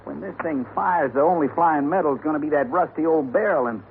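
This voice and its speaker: A man's voice: a little high, a little fast, gravelly. An actor playing a skeptical, grumbling gunman sidekick in a 1950s Western radio drama, blunt and full of doubt. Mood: doubtful, worried. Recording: muffled, noisy old radio recording.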